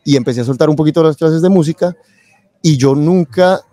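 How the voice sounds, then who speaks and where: Bright-voiced man, interview guest. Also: on the high side, fairly quick, no room sound. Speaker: interview guest, tax lawyer and accountant. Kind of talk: casual interview, telling own story. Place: convention event booth, indoors. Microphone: close boom-arm microphone with headset.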